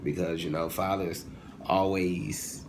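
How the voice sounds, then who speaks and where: A man in his twenties giving a casual, humorous opinion monologue as a vlogger, animated and informal, often digressing and correcting himself. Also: low-pitched, takes it slowly. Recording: clearly noisy, ambient background sound.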